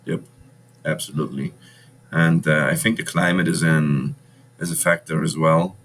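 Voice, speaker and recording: A man's voice: rumbling low, fairly even intonation, normal speed, gravelly, fairly bright tone, slight background noise. A man from Germany with a German accent touched by Venezuelan Spanish, talking bluntly and animatedly as a podcast guest, swearing freely. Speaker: podcast guest, bar owner. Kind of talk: casual interview chat.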